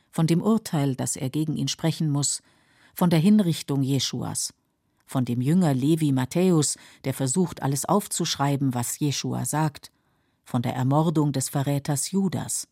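Mellow, dry-sounding female feature narrator. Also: sits low, dry recording. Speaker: documentary narrator. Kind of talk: scripted literary feature narration.

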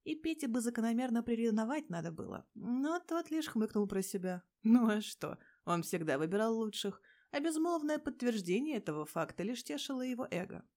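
A woman's voice: fairly high pitch, lively intonation, a little fast, hardly any pauses, darkish tone. A woman reading scripted fiction expressively, in a sardonic, slangy manner with crude language. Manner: sardonic, crude slangy storytelling with frequent swearing. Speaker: fan fiction narrator.

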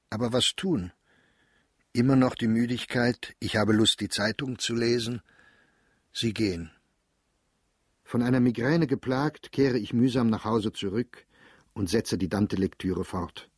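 A man reading a literary diary aloud in a quiet, introspective, measured way, recorded close in a studio. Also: fairly low pitch, slightly rough, dry recording.

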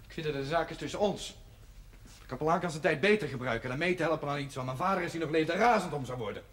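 A man's voice: on the high side, fast talker, fairly bright tone, natural voice. An actor playing a defiant, resentful young working man in a television drama, blunt and combative. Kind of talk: 1970s television drama acting.

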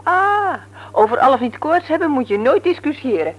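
An actress in a Dutch radio play, playing a brisk, teasing, no-nonsense nurse married to a man she likes to mock. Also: sits high, sing-song. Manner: brisk, mocking, matter-of-fact. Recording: noisy old radio recording with hum.

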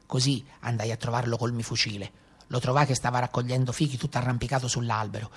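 A man with a Tuscan accent playing a rough peasant brigand of the Maremma in a theatrical storytelling monologue. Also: mid-range voice, steady tone, fast. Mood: grim narrating. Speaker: stage actor. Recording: live guitar music behind.

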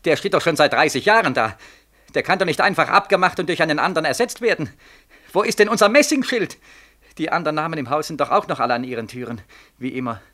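An actor playing a bewildered, desperate soldier home from Siberia in a 1940s German radio drama, with a plaintive, insistent delivery. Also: male voice, a little high, animated, quick pace, a bit bright. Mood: bewildered, agitated. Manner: insistent, repetitive questioning.

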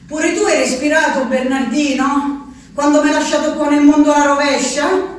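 A woman's voice: high-pitched, fairly even intonation, normal speed, lightly acted. An actress with an Abruzzo accent playing a woman shut in an asylum, obsessively counting, in a fervent, rambling, repetitive stage monologue, heard from far off on an echoey, noisy stage. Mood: bitter. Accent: Abruzzo Italian.

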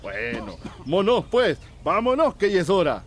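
Folksy, animated, high-voiced farmer. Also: male voice, measured pace, exaggerated voice. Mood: good-humoured resolve. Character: resigned, good-humoured male farmer hit by drought. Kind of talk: radio drama acting.

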